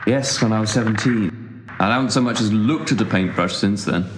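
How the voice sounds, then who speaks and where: A man's voice: on the low side, a little fast, lightly acted. Echoey, sardonic young brother, acted by a man. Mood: defensive. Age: young adult. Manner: dry, sarcastic, wordy wit. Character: cynical, sardonic younger brother, aimless and unemployed. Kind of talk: naturalistic audio drama dialogue. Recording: echoey room, faint background noise.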